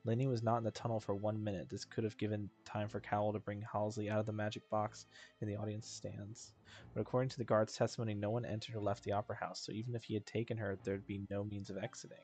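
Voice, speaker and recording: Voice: mid-range voice, level tone, quick pace, a little mellow, soft background music. Game streamer thinking aloud, mid-range voice. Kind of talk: casual gameplay commentary, reading some dialogue aloud.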